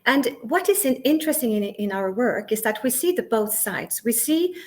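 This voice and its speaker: A middle-aged woman from Sweden gives a prepared conference talk in English. She speaks with emphasis, asks the audience questions, lists words one at a time with pauses, and makes small grammar slips and restarts. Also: fairly high pitch, lively intonation. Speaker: conference speaker and workplace consultant.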